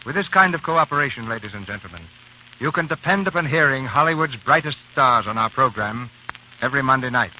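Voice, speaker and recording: A man presenting a radio theatre in formal 1930s style, narrating in a grand, deliberate, dramatic manner. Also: mid-range voice, lively intonation, a little hiss or hum. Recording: muffled 1930s radio recording, music behind.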